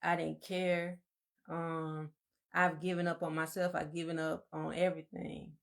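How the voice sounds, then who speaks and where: A soft-spoken woman, co-hosting a casual live-stream chat and telling her story in a meandering, reflective way. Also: sits low, level tone, unhurried, vocal fry.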